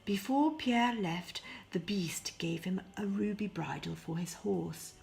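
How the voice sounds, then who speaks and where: A woman reading a fairy tale as expressive, deliberate narration, with hushed phrase endings. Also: medium pitch, creaky. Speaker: storybook reader.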